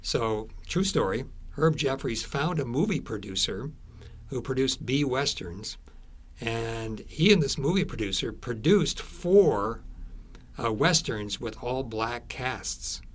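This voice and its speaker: A man speaking as an interviewed author, telling stories conversationally in a halting way, repeating words and trailing into asides. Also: mid-range voice, animated, slight background noise.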